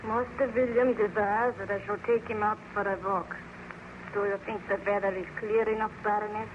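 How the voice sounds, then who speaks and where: An actress playing a strict, grim nursemaid who speaks formally and deferentially in an old radio drama style. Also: female voice, mid-range voice, little pitch movement, normal speed, lightly acted. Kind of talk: 1930s radio drama acting. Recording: muffled, noisy old-time radio recording.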